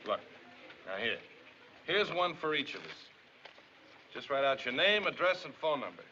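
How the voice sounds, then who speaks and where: A man's voice: mid-range voice, very animated, normal speed, bright, forceful tone. An actor playing a chummy, boastful middle-aged American man with a folksy, wisecracking way of talking, in a 1950s film. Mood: brisk, teasing. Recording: muffled, noisy old film soundtrack.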